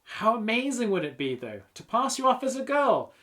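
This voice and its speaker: A man voicing an excitable, teasing, encouraging schoolgirl in a children's audiobook. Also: very high-pitched, moderate pace, pitched above the performer's own voice, exaggerated voice. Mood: excited, persuasive. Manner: eager, gushing, teasing. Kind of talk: children's audiobook character reading.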